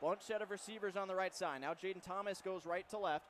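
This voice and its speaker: A man's voice: high-pitched, fast, a bit bright. A man doing live radio play-by-play of American football, narrating the action in clipped present-tense phrases. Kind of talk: live play-by-play sports commentary. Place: football stadium broadcast position. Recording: faint stadium background noise.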